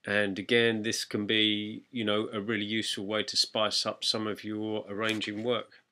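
Bright-voiced man giving a software tutorial. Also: fairly low pitch, monotone, normal speed. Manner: explanatory, conversational. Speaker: music production tutor. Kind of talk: informal software tutorial narration.

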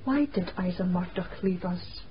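An actress playing an earnest, troubled young Scottish niece in a radio drama. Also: female voice, on the low side, animated, moderate pace, lightly acted. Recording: muffled radio drama, faint noise.

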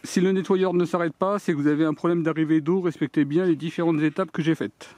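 A man's voice: a little high, fairly even intonation, fast, darkish tone, a little hiss or hum. A man giving a hands-on, step-by-step demonstration of a tool outdoors on a garden deck, talking straight to viewers. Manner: plain step-by-step explaining, addresses viewers directly. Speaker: DIY video host.

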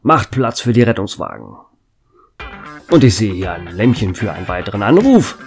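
An actor playing a chatty, joking small-town radio DJ in a radio drama. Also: male voice, on the low side, wildly swooping pitch, normal speed, soft background music. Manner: chatty, jokey. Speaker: radio host.

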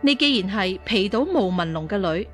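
An actress playing a stern, shrewd martial-arts nun of royal birth, measured and commanding, in a Cantonese radio drama. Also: female voice, medium pitch, lively intonation, faint music behind, close to an everyday voice. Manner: measured, authoritative.

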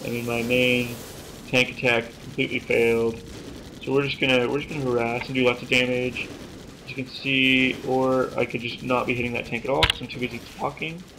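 A young man from Canada giving joking, self-mocking commentary over his own gameplay at home, now and then shouting at the screen. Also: medium pitch, level tone, fairly quick, slight background noise, poor audio. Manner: joking, self-mocking, bursts of yelling at the game. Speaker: gaming YouTuber. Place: small room at home.

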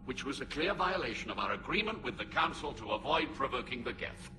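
An actor voicing a male alien admiral who speaks in a sharp, reproachful way. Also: medium pitch, big pitch swings, a little fast, music in the background, natural voice.

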